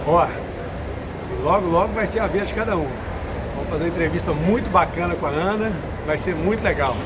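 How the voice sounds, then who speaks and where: A man's voice: high-pitched, fairly quick. A man conducting an informal interview, often repeating his own phrases as he goes. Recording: noisy location with vehicle sounds.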